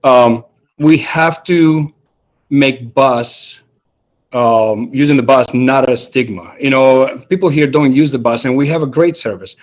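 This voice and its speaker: A man's voice: medium pitch, measured pace. A man from Greece, giving a chatty answer full of fillers as a mayoral candidate on a video call.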